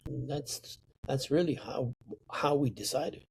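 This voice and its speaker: An older man from Sicily, telling stories from his life slowly and with emphasis. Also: mid-range voice, vocal fry, darkish tone, breathy edge, poor audio. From Sicily, Italy. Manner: slow, deliberate, emphatic storytelling. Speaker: interview guest, artist. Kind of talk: reflective storytelling.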